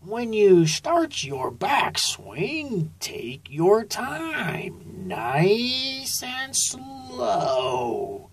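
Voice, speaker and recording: A woman voicing a patient, calm turtle golf coach in a storybook, drawing each instruction right out. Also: on the high side, breathy edge, voice pushed up, exaggerated voice. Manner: very drawn-out, instructing.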